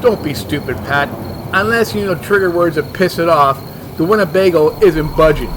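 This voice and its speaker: A man's voice: on the high side, moderate pace, noisy background, close to an everyday voice. A man playing a cocky, dismissive and stubborn monster hunter with a sarcastic manner.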